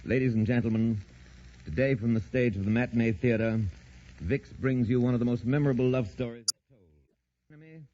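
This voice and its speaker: A man's voice: mid-range voice, steady tone, brisk, mellow. A man narrating a scripted drama as a vintage radio host, in a solemn, formal manner. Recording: muffled, faintly noisy old radio recording.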